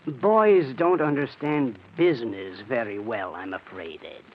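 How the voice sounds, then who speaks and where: A man's voice: medium pitch, a little slow, lightly acted. An actor playing a wealthy, coolly stingy man with a hesitant, evasive manner, in 1940s radio style. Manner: hesitant, smooth-talking, evasive. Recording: dull vintage radio recording.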